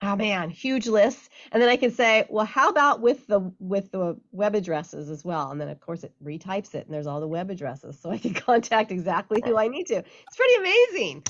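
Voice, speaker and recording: A woman's voice: medium pitch, sing-song, brisk, hardly any pauses. Upbeat woman co-hosting radio, lo-fi. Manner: enthusiastic, upbeat. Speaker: radio show co-host. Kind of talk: casual chat and guest introduction.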